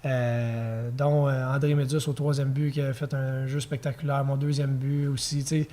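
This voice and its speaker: A man in his thirties with a Quebec French accent, a former pitcher chatting as a podcast guest, telling stories easily with chuckles and fillers. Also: moderate pace. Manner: relaxed storytelling with fillers and chuckles. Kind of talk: casual podcast conversation.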